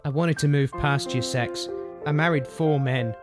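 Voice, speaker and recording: A woman's voice: a bass voice, unhurried, over music. A woman voicing a tough, bitter, world-weary adventurer in a dramatic comic dub. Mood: weary regret.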